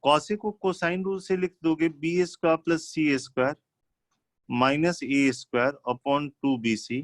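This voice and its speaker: A man's voice: a little high, steady tone, measured pace, dry recording. A man teaching maths live, working through problems step by step in an instructional way and mixing in English technical terms. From India.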